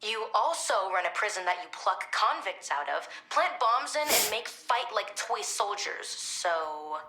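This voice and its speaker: An actress playing a defiant, sharp-witted teenage girl in a video game, casual and dryly sarcastic. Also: medium pitch, big pitch swings, a little slow, reverberant, soft background music, low-quality recording. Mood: sardonic, defiant.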